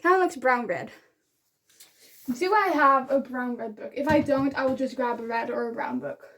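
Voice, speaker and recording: A woman's voice: high voice, normal speed, fairly bright tone. A young woman whose first language is Dutch, casually chatting and gushing about books she loves in a rambling, giggly way. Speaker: book vlogger. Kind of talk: casual book review vlog.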